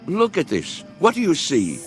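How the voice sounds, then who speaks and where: A man's voice: on the high side, wildly swooping pitch, moderate pace, soft background music. An actor in a radio drama playing a spirited old man, earnest, dreamy and pleading as he urges his friends to feel young. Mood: urgent, excited.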